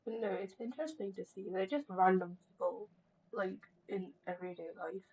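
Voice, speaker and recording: A woman's voice: mid-range voice, expressive, normal speed, darkish tone, breathy edge, dull audio. A woman streaming a game, chatting casually in a rambling, joking way.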